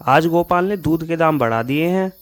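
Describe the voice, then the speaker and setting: A man's voice: a little high, lively intonation, moderate pace, lightly acted. A man playing a trusting, mild-mannered male neighbour in a Hindi moral-story cartoon. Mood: disbelieving. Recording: music behind the voice.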